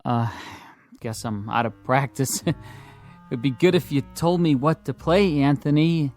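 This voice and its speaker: A man's voice: mid-range voice, unhurried, darkish tone, natural voice. A man in a radio drama playing a nervous amateur pianist, hesitant, deferential and eager to please. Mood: nervous politeness. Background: music.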